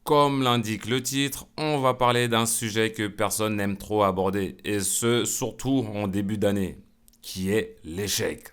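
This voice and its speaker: A man's voice: steady tone, a little slow, fairly bright tone. A middle-aged man hosting a solo podcast, joking and chatty, full of asides and self-mockery. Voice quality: smooth low voice. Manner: playful, self-mocking, lots of asides and "hein". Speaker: podcast host. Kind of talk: casual solo podcast monologue.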